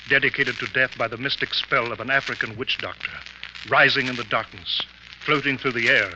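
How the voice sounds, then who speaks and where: A grave male professor played by an actor in an old radio mystery, spinning occult tales in formal, portentous phrases. His delivery is theatrical, with a medium pitch. The recording is muffled and clearly noisy, like a crackly 1950s broadcast. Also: steady tone, brisk, close to an everyday voice. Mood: ominous. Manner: dramatic, portentous, formal diction.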